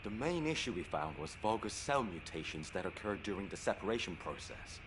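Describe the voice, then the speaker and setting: A lowish, calm voice of an earnest game scientist, laying out his plan step by step. A steady background noise runs underneath. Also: a little fast. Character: earnest male scientist explaining a treatment.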